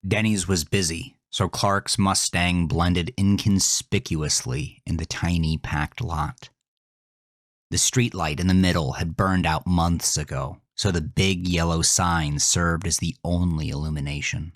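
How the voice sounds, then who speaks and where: Low-voiced guy reading a scary story real slow, intense, soft music under it. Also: fairly even intonation, no room sound, clean studio sound. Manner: intense, dramatic delivery dropping into whispers. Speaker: horror story narrator. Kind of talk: scripted horror narration.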